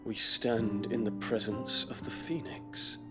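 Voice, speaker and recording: An actor playing a proud, guarded young prince with a commanding manner in a dramatic video game scene. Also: male voice, fairly low pitch, flat delivery, slow pace, over loud music. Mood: guarded, formal. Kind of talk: dramatic game cutscene acting.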